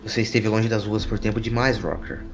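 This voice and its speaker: A man's voice: fairly low pitch, flat delivery, a little fast, natural voice. Man as a blunt, cynical street reporter over music. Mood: irritated, blunt. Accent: Brazilian. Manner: slangy, blunt. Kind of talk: audio drama acting in cyberpunk story.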